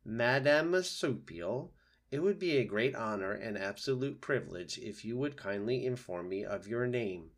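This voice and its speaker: A man voicing a gentle, naive female robot in a storybook, polite, measured and overly formal. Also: mid-range voice, lively intonation. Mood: stiffly friendly. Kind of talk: storybook read-aloud voice.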